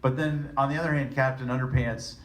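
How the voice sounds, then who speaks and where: A man's voice: medium pitch, steady tone, fairly quick. Affable man at a press panel. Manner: affable, self-deprecating, laughs often. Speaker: voice actor on a panel. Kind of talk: casual press conference answers. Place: press conference room. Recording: roomy hall with faint noise.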